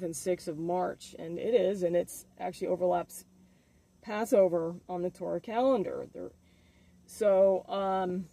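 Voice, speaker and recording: A man speaking casually as the host of an informal religious video update, halting as he thinks aloud. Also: high-pitched, a little mellow, no echo.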